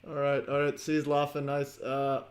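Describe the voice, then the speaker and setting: A man hosting a livestream, chatting casually with many fillers and pauses. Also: mid-range voice. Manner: filler-heavy, chatty, laughs often. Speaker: host.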